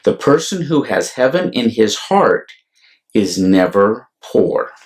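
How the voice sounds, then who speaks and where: Male storyteller for a children's read-aloud: slow, deliberate delivery, lively swings in pitch. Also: slight background noise. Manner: deliberate, animated, explanatory asides to young listeners. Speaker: storyteller, priest reading aloud. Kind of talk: expressive children's picture-book read-aloud.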